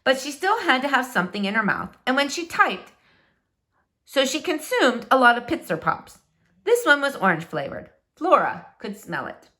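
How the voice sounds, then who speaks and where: Female storybook narrator, higher voice, highly animated delivery for a children's novel. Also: moderate pace. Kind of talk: expressive storybook read-aloud narration.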